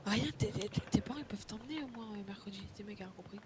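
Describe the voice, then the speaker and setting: A woman chatting with friends in quick, slangy casual speech, often trailing off into a murmur. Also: mid-range voice, animated, background noise. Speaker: friend of the vlogger. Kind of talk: casual chat among friends.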